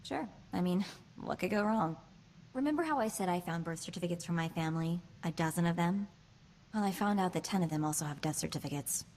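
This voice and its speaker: A woman voicing a quick-witted, sardonic young female hacker in a space video game, chatty in manner. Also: lowish voice, darkish tone, a little hiss or hum, close to an everyday voice. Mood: wry, mischievous.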